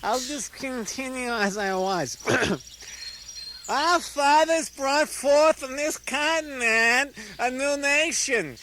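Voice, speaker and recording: A man playing a doddering, forgetful Abraham Lincoln in comic radio theater, giving a halting, flustered speech full of throat-clearing. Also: very high-pitched, sharp tone, slight background noise, exaggerated voice. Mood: earnest oratory.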